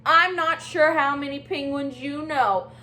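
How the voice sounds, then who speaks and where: A woman's voice: high-pitched, measured pace, pushed and bright. A woman voicing a small, anxious young penguin from a children's storybook, childlike, whiny and dramatic. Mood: whiny, exasperated. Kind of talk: children's storybook read-aloud.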